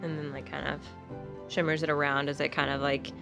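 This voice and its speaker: A woman's voice: sits low, level tone, a little fast, gravelly. A woman chatting casually in character as a player in a tabletop game. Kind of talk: casual role-play chat. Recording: music bed under the voice. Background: background music.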